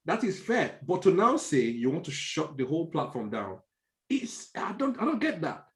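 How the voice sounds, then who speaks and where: Animated Nigerian man in an echoey room. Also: on the high side, normal speed. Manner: emphatic, restates points. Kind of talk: animated podcast discussion. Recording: roomy, echoing sound.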